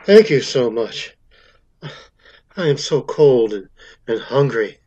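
An actor playing a timid, broken homeless man in a radio drama, speaking hesitantly in halting phrases. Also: mid-range voice, big pitch swings, warm tone, lo-fi sound. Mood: weary gratitude.

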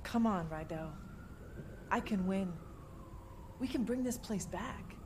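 An actress playing an eager, determined young street racer with an earnest, excitable delivery in a video game. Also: female voice, mid-range voice, takes it slowly, background noise. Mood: earnest, urgent. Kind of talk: video game voice acting, natural dialogue.

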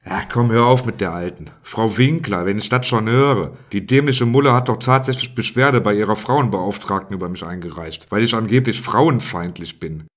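A man's voice: on the low side, moderate pace, hardly any pauses, close to an everyday voice. A man playing a blunt, coarse, self-important police inspector in a parody detective audio drama. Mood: irritated.

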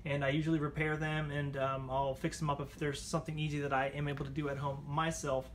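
A man's voice: a little high, little pitch movement, fast talker, a little hiss or hum. A man hosting a casual repair tutorial, chatting informally as he talks viewers through the steps. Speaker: how-to channel host. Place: indoors at a table at home.

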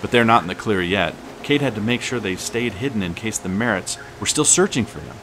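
A man's voice: fairly low pitch, lively intonation, a little fast, over music, natural voice. A man playing a grandfather who narrates an adventure story in an audio drama. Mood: suspenseful storytelling.

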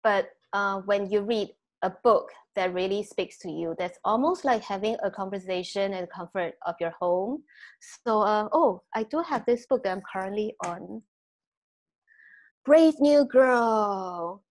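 A woman's voice: medium pitch, a little slow, a little mellow. A woman, a TV presenter chatting and laughing on a video call from home, her nose a little congested. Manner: laughs often, mixes in Mandarin. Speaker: TV presenter, guest. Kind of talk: casual video-call chat. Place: home room, indoors. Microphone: video call, earphone mic.

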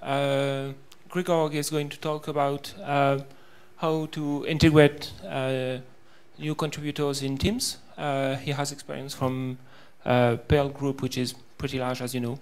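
A man's voice: medium pitch, flat delivery, unhurried, hardly any pauses, clean tone. A man introducing the next speaker at a conference, hesitant with many fillers. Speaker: session chair introducing the speaker. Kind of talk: brief introduction.

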